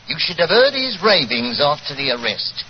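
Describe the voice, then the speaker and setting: An actor playing a deferential, plain-spoken police inspector, in 1940s radio style. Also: male voice, a little high, very animated, moderate pace, lo-fi sound. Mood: deferential, pleased. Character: deferential, grateful male Scotland Yard police inspector. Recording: noisy, band-limited 1940s radio recording.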